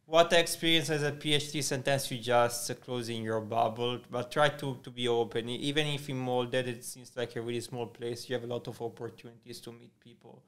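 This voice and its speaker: A man's voice: mid-range voice, steady tone, fairly quick, hardly any pauses, fairly bright tone. A man speaking as a guest in a casual podcast interview, giving short, hesitant answers.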